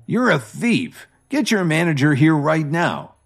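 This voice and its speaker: A man voicing a brash, drunk young man who blusters and protests loudly. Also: on the high side, wildly swooping pitch, measured pace, soft background music, pitched above the performer's own voice, heavily acted. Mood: outraged. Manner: loud, indignant.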